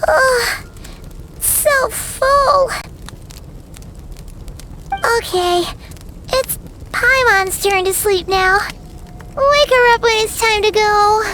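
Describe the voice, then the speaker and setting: A woman voicing a small, cheerful, childlike fairy companion in a video game, squeaky and proud. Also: drawn-out words, long pauses, sharp tone, a little hiss or hum, full character voice. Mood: sleepy, full. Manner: squeaky, refers to self in third person. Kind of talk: animated game voice acting.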